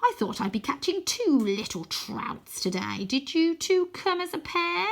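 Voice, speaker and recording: Woman voicing a menacing, rasping scarecrow villain. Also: high voice, very animated, pitched above the performer's own voice, full character voice. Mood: gloating. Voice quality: raspy, rusty snarl. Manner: slow, drawn-out gloating threats. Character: menacing male scarecrow villain, sneering and gloating.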